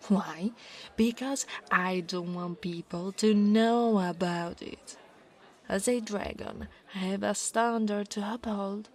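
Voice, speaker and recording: Woman acting out a young dragon girl, unhurried delivery, haughty, insecure, defensive bully, flustered stammers, breathy near-whispers, ASMR roleplay. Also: medium pitch, darkish tone, close to an everyday voice. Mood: stern, proud. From Italy. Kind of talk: scripted ASMR monster roleplay, acting one side of a conversation.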